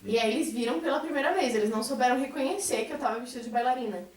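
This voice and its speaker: High-voiced Brazilian woman in an echoey room. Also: very fast. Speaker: science theatre troupe member. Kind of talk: reflective group conversation. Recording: echoey room, distant mic.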